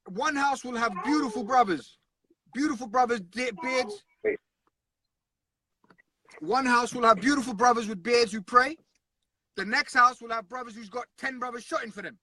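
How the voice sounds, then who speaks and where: A man's voice: very high-pitched, sharp tone, no room sound, low-quality recording. A man talking earnestly and bluntly in a casual conversation, often repeating himself.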